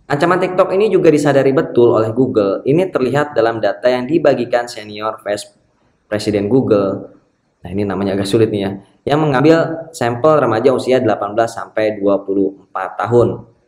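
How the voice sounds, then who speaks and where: A man's voice: medium pitch, a little fast, darkish tone. A man who works as an SEO marketer, reading a news article aloud and adding casual comments with frequent tag words. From Indonesia. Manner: reads passages, then comments with frequent "ya" and "nih" tags. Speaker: SEO marketer and channel host. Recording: echoey room.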